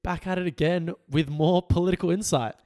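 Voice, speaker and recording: A young man co-hosting a political podcast. He is hoarse today and chats casually, laughing easily. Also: sits high, steady tone, brisk, warm tone. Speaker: podcast co-host.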